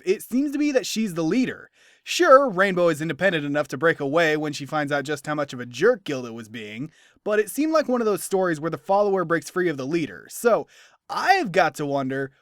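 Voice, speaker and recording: A man giving an enthusiastic, animated scripted review as a cartoon episode commentator, with instrumental music behind him. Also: on the high side, no room sound, clean studio sound. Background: instrumental background music.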